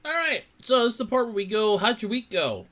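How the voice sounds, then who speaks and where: A man hosting his own podcast in casual solo chat, rambling with lots of restarts and a slightly raspy voice from allergies. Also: high-pitched, brisk. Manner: rambling, animated, with many restarts and fillers. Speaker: podcast host. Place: his own room at home.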